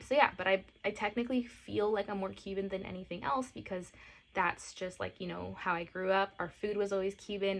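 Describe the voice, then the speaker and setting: A woman in her late twenties speaks casually to camera, gushing and emotional. She sounds teary, leans on fillers and her voice sits at a middle pitch. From born in Costa Rica, grew up in Miami. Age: 20s. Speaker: lifestyle and mom vlogger.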